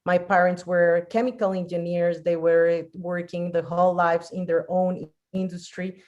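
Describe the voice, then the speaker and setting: A woman's voice: fairly low pitch, flat delivery, a little mellow, muffled recording. A woman from Colombia with a Colombian Spanish accent, telling personal stories off the cuff and often searching for words in a second language. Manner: halting, searching for words in non-native English. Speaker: guest speaker. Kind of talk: unscripted personal storytelling.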